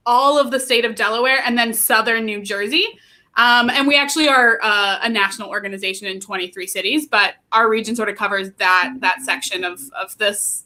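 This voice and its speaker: American lady in her 30s, really bright highish voice, peppy and keen, explaining stuff as a guest. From Pennsylvania, United States. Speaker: guest, youth play nonprofit training manager. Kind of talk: interview explanation.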